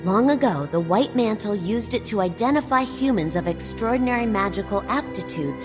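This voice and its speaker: A woman's voice: medium pitch, lively intonation, a little fast, close to an everyday voice. Wry, nerdy female explorer for a fantasy game played by a woman, bookish explainer, big reverb, music underneath. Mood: keen, scholarly. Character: wry, nerdy female explorer-scholar, quick with dark jokes. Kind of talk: scripted video game dialogue. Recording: long hall reverb, game music behind.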